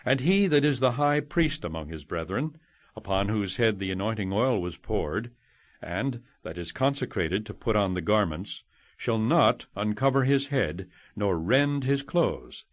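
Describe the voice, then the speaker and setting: A man reading scripture as an audiobook narrator, solemn and measured, declaiming each phrase and letting it fall at the end. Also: fairly low pitch, lively intonation, no room sound. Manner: measured, declamatory, phrases ending on falling cadences.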